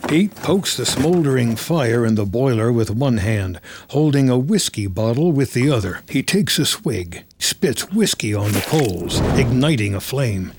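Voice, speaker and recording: A man's voice: mid-range voice, lively intonation, a little slow, background noise. A man giving terse, dramatic scripted narration of a film story in the present tense.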